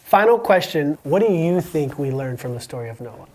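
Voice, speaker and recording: A man's voice: a little high, animated, moderate pace, a little mellow. A man with an American accent hosts a school podcast, asking quiz questions in an upbeat, encouraging way. He slips in small jokes as he goes. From Romania. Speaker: podcast host. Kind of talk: casual interview with a student.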